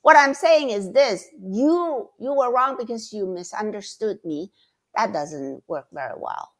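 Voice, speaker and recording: A middle-aged woman giving advice as a mentor, talking slowly and thoughtfully, often repeating words as she searches for them. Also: medium pitch, lively intonation. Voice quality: loud, passionate voice. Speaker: guest mentor. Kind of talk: casual advice talk.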